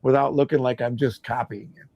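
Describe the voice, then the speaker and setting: Loud-voiced man hosting a live stream. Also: mid-range voice, fairly even intonation, a little fast, dry recording, muffled recording. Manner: loud voice, rambling restarts. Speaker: host. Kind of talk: casual live-stream chat.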